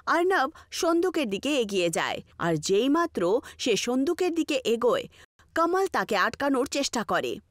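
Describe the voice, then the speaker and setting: A woman narrates a scripted ghost story. Her voice is high and very animated in pitch, captured close and dry with no room sound. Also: moderate pace, no echo.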